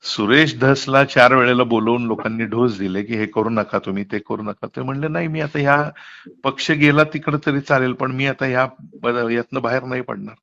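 A man's voice: mid-range voice, normal speed, gravelly, fairly bright tone, dull audio. A middle-aged man explaining things emphatically and asking rhetorical questions in a candid political phone conversation, recorded off the call. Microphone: telephone.

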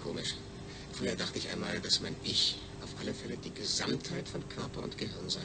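A man's voice: low-pitched, fairly even intonation, a little fast, heavy background noise. A man playing an eager, needy astronaut's brain speaking emphatically through a speech synthesizer in a radio drama. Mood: reflective. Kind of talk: German radio drama acting.

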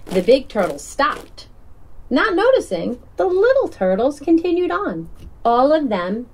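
A woman narrating a children's picture book in a deliberate, expressive storytelling manner. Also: a little high, low-quality recording. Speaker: picture-book author reading aloud.

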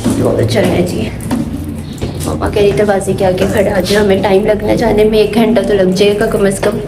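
A woman's voice: mid-range voice, flat delivery, moderate pace, loud music behind the voice. A young woman vlogging casually to her phone, chatting about her day and mixing in English words. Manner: hushed, half-whispered indoors. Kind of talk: casual vlog chat. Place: home and auto-rickshaw. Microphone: phone held at arm's length.

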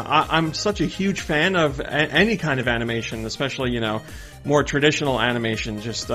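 A man's voice: medium pitch, a bit bright. A man in his thirties hosting video game news in a casual, friendly, upbeat way, talking to viewers. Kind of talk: casual vlog-style news commentary. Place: small indoor room with game shelves. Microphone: desktop condenser mic close in front. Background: background music.

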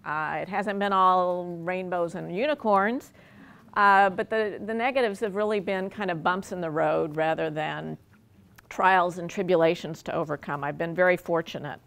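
A woman's voice: fairly low pitch, moderate pace, gravelly, fairly bright tone. An older American woman from Texas gives an informal autobiographical talk to a lecture room, with a wry, self-deprecating manner. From Dallas, Texas. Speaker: university speaker. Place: lecture room with audience, indoors.

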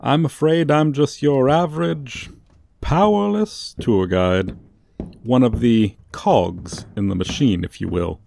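Man playing a slow, theatrical, sardonic tour guide. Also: medium pitch, creaky, dark tone, a little hiss or hum. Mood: chatty, insincere. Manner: showy, drawn-out emphasis, dry asides. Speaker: voice actor. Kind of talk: comedic ASMR roleplay monologue.

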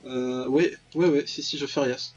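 A man's voice: medium pitch, steady tone, measured pace. A man hosting a let's play, commenting ironically and reading game text aloud with lots of interjections. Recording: dull lo-fi mic with music behind. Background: game music.

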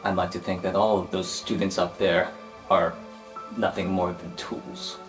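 A man voicing an arrogant, domineering history teacher supervillain in a superhero roleplay, speaking in a haughty, measured, menacing way. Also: low-pitched, little pitch movement, over music. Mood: cold, domineering. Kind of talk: improvised superhero roleplay podcast.

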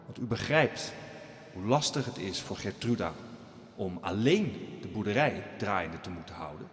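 An actor playing an earnest village pastor in a radio drama, preaching solemnly and haltingly to his congregation. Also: lowish voice, expressive. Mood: earnest pleading. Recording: echoey church hall reverb.

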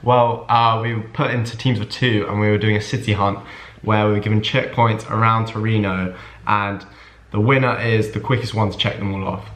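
A young man from England, vlogging casually outdoors on city streets into a handheld camera, chatting fast and laughing often. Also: lowish voice, steady tone. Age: young adult. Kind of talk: casual vlog chat. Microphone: handheld camera at arm's length.

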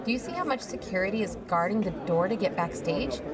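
A woman's voice: medium pitch, very animated, brisk, lightly acted. A woman playing an impatient, exasperated member of an investigating team in a casual fiction podcast. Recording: distant mic, loud crowd noise.

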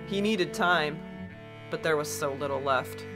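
A woman playing a weary, guarded spaceship pilot in an audio drama, speaking in terse, measured, grave lines. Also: low voice, music in the background. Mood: grave, resigned. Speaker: narrator and lead actor in an audio drama. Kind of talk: audio drama acting and first-person narration.

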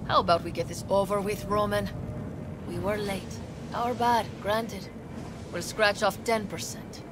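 A woman's voice: mid-range voice, steady tone, unhurried, close to an everyday voice. An actress playing a stern, no-nonsense female nomad in a video game, curt and scolding with folksy phrasing. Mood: firm. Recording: noisy outdoor ambience behind.

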